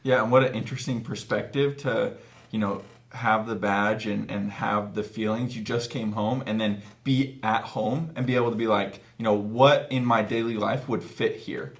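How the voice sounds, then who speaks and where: A man's voice: fairly low pitch, fairly even intonation, moderate pace, creaky. A man in his twenties talking casually as an interview guest in a small meeting room. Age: 20s. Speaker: author and guest. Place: small office meeting room, seated at a table.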